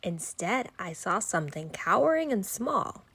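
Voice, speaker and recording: A woman reading a rhyming children's bedtime story aloud in an expressive storytelling style. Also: mid-range voice, a little slow, husky.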